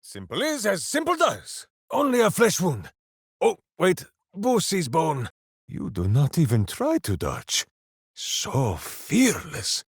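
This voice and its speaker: An actor playing a big-hearted, boisterous, simple-minded heroic ranger in a video game, declaiming theatrically. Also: male voice, fairly high pitch, huge pitch swings, slow, breathy edge, dry recording, clean studio sound, full character voice. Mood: booming, heroic. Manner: theatrical, declaiming, speaks of himself in third person. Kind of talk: video game character voice lines.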